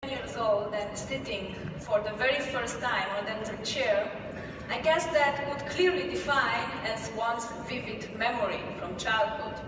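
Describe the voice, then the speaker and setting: A woman from Romania tells a reflective personal story from a conference stage, her fairly high voice heard from a distance. The hall rings with long reverb and audible noise. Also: unhurried. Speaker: conference speaker. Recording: echoey hall from far off.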